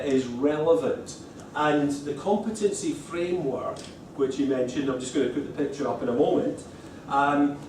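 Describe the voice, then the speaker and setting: A man's voice: on the high side, a little mellow, a little hiss or hum. A middle-aged man with a Scottish accent giving an informal conference talk, stopping and restarting often. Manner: hesitant, many restarts and fillers. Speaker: speaker at a lecturers' conference. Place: conference room. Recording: echoey room from far off.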